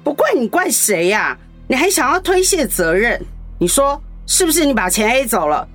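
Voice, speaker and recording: A shrill quarrelsome sister. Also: female voice, sing-song, moderate pace, fairly bright tone, soft background music, full character voice. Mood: accusing. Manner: accusing, urgent outbursts. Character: shrill, combative elder sister. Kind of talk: radio drama acting.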